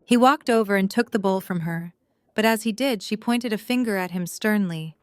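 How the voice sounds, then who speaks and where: A woman's voice: mid-range voice, normal speed, clean tone, no room sound. A woman reading scripted story narration as the narrator of an audio drama.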